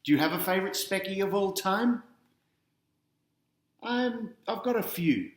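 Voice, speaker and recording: A man's voice: high-pitched, expressive, measured pace, roomy sound. An Australian man reading a children's picture book aloud, adding chatty asides and talking directly to young listeners.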